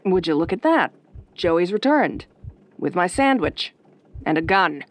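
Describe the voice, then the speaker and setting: A woman acting as a sardonic late-night radio DJ in a horror audio roleplay, soft-spoken and velvety with a dry, wry delivery. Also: on the low side, very animated, measured pace.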